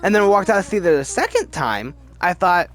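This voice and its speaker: Animated man ranking movies casually. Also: sits high. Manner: animated, rambling, halting with repeated words. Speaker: host of a movie ranking video. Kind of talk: casual opinionated commentary. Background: background music.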